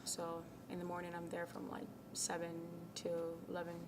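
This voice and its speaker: A young woman from Ghana, a college tennis captain, answering interview questions in a soft, casual way with many ums, sometimes dropping to a near whisper. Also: lowish voice, completely flat delivery, normal speed. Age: young adult. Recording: noisy background.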